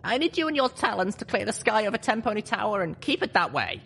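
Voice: medium pitch, sing-song, fast talker, creaky, a bit bright, a little hiss or hum, pitched above the performer's own voice. A narrator voicing an earnest, determined young female pony leader, speaking solemnly and urgently in a story reading. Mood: solemn, commanding. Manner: solemn, urgent speech-making.

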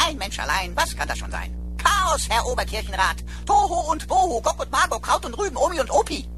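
A woman's voice: high-pitched, sing-song, a little fast, a bit bright, faint music behind. A woman playing a sarcastic talking computer in a radio drama, playful, mocking and theatrical. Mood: playful mockery. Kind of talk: German radio drama acting.

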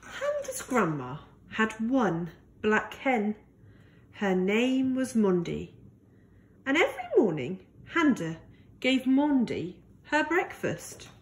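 Woman, high pitch, very slow pace, children's storybook read-aloud. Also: huge pitch swings. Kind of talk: slow read-aloud picture book narration.

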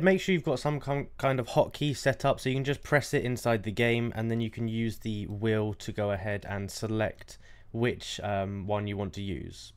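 A man in his twenties presenting an instructional video in a direct, step-by-step way, close to a desk microphone. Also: mid-range voice. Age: 20s. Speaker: tutorial presenter. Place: indoor desk setup.

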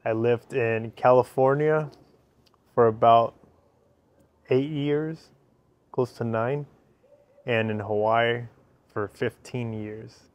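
A man's voice: mid-range voice, steady tone, takes it slowly, long pauses, lo-fi sound. A man in his thirties from Ecuador with an Ecuadorian Spanish accent, a native Spanish speaker, answering interview questions in short, simple sentences on an outdoor patio. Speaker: interview guest. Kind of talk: casual interview. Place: covered outdoor patio by a fireplace.

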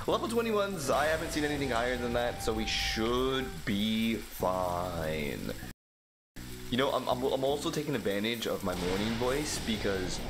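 Goofy energetic young man gaming. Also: mid-range voice. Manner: energetic, goofy, quick reactions. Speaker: let's play host. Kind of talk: casual gameplay commentary. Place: small home room. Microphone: studio mic on a boom stand. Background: game music.

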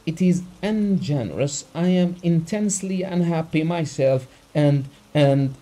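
A man's voice: medium pitch, animated, unhurried, dark tone, husky. A man reading a story aloud, voicing a wealthy, haughty nobleman who shrieks at others and his servants. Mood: wounded. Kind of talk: audiobook character reading.

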